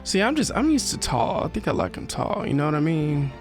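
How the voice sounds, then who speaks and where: A man commentates casually on a game with faint music underneath. His voice sits a little high and moves around in lively fashion. He drops offhand asides as he reacts. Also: brisk. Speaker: game streamer.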